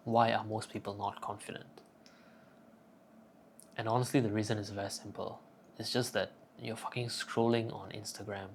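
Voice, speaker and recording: A man's voice: fairly low pitch, vocal fry, slight background noise. A young man giving casual self-improvement advice in a cocky, profane, joking manner. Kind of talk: casual crude advice monologue.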